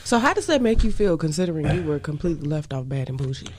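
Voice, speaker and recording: A woman's voice: sits low, sing-song, quick pace, crowd noise. A woman interviewing on a radio show in casual, slangy talk, asking blunt and incredulous questions.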